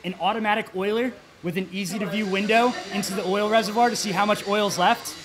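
A man in his thirties at a trade show, confidently walking through product features in a fluent sales pitch. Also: fairly even intonation, quick pace. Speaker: product representative.

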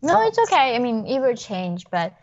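A woman's voice: a little high, sing-song, darkish tone, highs cut off. Young woman with a Korean accent. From North Korea. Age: young adult. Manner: fast, emphatic, frequent "like" fillers. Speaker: guest. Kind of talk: personal storytelling in interview. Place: home kitchen. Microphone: wired earbuds on video call.

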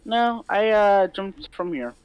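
A man's voice: sits high, animated, takes it slowly, clear, resonant tone, no room sound. A man playing an easygoing young newcomer to town in an improvised Minecraft roleplay, answering casually and hesitantly. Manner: hesitant, brief casual replies. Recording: music behind the voice.

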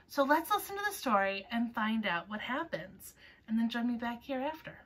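A woman in her thirties hosting a children's storytime, reading and introducing a picture book in a measured way with pauses. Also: a little high, lively intonation, fairly bright tone, breathy edge. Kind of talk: scripted introduction and picture-book read-aloud. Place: small furnished room at home, indoors. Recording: voice a little far from mic.

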